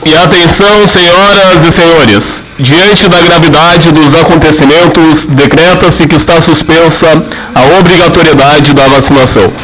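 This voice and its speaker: A man's voice: fairly high pitch, normal speed, low-quality recording. A young man playing a formal radio news announcer, reading scripted narration.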